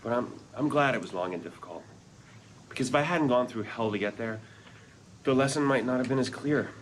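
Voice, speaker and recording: An actor playing a wistful father who narrates his love story to his children in an earnest, measured way, at a script read-through. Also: medium pitch, close to an everyday voice. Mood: tender, earnest. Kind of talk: reflective first-person scripted narration. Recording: noisy live room recording.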